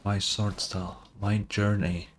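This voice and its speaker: A man's voice: lowish voice, flat delivery, measured pace, a little hiss or hum. A man doing casual live gameplay commentary as a streamer, talking in an informal, slangy, wry way. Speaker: game streamer.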